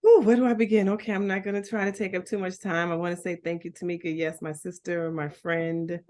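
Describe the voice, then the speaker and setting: A woman's voice: fairly low pitch, little pitch movement, brisk, a little mellow. A middle-aged woman from Liberia, a guest chatting casually and telling her life story with laughs and restarts. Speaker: guest, school founder. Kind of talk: casual chat.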